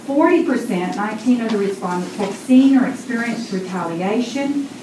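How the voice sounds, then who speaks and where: A woman in her sixties with a loud voice presents a survey report to a board in a formal tone, with many fillers. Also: a little high, animated, moderate pace. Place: boardroom meeting. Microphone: room mic from a distance. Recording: echoey room, distant, noisy.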